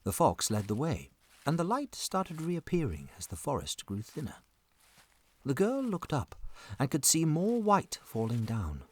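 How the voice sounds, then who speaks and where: A man narrating a scripted children's story as an expressive storyteller. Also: on the high side, unhurried.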